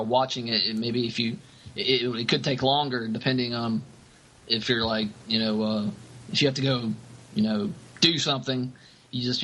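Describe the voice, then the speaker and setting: A man co-hosting a podcast in casual talk, halting and repeating words as he goes. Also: mid-range voice, sharp tone, rough recording. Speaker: podcast co-host. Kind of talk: casual discussion.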